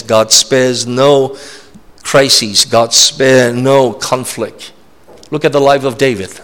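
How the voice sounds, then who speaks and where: A man delivers a sermon in a large church hall, where his voice trails off in a long reverb. He is emphatic and repetitive, keeps checking in with "all right?", and speaks at an ordinary middle pitch. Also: fairly bright tone. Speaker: preacher.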